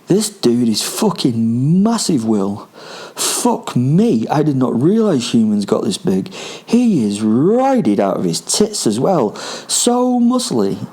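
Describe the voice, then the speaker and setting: A man's voice: mid-range voice, sing-song, takes it slowly, mellow, breathy edge, full character voice. A man voicing a grumpy, bloodthirsty male spirit werewolf in a story, sweary, emphatic and goading. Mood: awed, amused.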